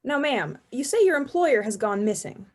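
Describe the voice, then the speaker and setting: A woman's voice: sits high, lively intonation, moderate pace, darkish tone. A young woman playing a suspicious male police inspector in a radio play, asking clipped, methodical questions in a formal way. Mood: formal, probing. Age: young adult. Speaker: student actor.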